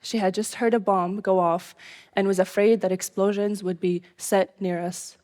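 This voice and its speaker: A young woman from Syria telling her own life story live, in an earnest, measured way. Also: mid-range voice, steady tone, a little mellow.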